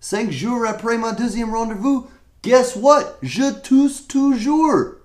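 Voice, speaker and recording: American man ranting, high voice, echoey room. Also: takes it slowly, darkish tone. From United States. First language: English. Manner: exasperated, sarcastic complaining. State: coughing, sick. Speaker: vlogger. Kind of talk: comedic rant to camera.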